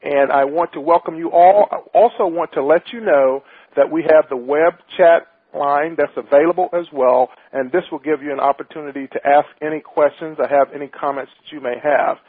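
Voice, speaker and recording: A male radio host with a slightly high, somewhat husky voice, upbeat and emphatic. The sound is dry and tinny, like a phone line. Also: a little fast, no echo. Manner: upbeat, emphatic, repeats himself. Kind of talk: hosting an internet radio talk show.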